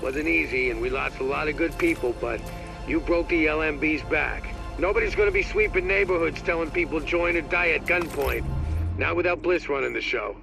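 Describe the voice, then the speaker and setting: A tough woman field agent in a video game. Her voice has a gravelly fry, with a commanding edge. Loud music plays over her. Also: low-pitched, fairly quick. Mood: relieved, proud.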